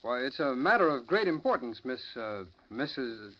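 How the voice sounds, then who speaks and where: A man's voice: medium pitch, big pitch swings. An actor playing a level-headed, authoritative male crime fighter in a 1940s radio drama. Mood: polite, probing. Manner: brisk, authoritative, polite with strangers. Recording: muffled, noisy old radio recording.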